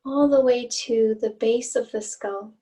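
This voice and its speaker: A woman leading a guided meditation as an instructor, with gentle, inviting phrasing and long pauses, recorded at some distance from the mic. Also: fairly high pitch, fairly even intonation.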